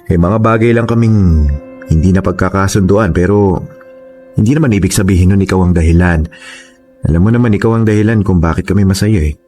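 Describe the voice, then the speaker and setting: A man's voice: low voice, brisk, long pauses, warm tone, natural voice. A man acting a domineering, jealous young father and boyfriend in a radio drama. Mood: gentle, fatherly. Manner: domineering, intense. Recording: music under the voice.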